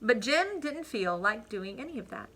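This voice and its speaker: A woman reading a picture book aloud to children like a teacher, with deliberate emphasis and asides to the young listeners. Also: fairly high pitch, sing-song, a bit bright, slightly rough.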